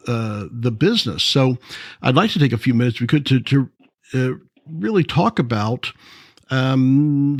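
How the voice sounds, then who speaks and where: Male radio host, halting delivery, dry close-miked studio voice. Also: medium pitch, dry recording. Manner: halting, many fillers and restarts. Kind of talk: radio interview.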